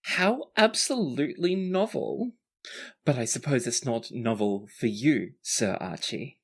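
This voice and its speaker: A game master voicing a young princess who is courteous, formal, excitable and easily flustered, in a tabletop game. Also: mid-range voice, a little slow, slightly rough. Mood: apologetic, formal.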